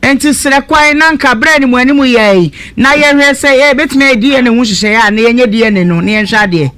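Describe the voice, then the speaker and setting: Bright-voiced Ghanaian woman radio host. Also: a little high, fast. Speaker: radio talk show host. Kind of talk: questioning guests on a live radio show.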